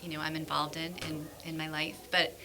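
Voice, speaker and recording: Former principal lady on a podcast, low gravelly voice, pretty flat, speaks polite and careful. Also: normal speed. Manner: thoughtful, articulate, polite. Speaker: guest, former school principal. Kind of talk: podcast interview answers.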